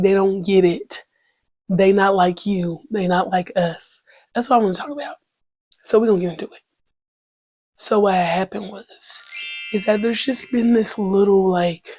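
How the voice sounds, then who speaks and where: A woman's voice: fairly low pitch, dry recording. Stuffy-nosed woman doing her podcast, slangy and cussing, dry close sound. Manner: slangy, animated, swears freely, breaks into praise. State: congested, voice still recovering. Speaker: podcast host. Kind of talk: casual faith-filled podcast monologue.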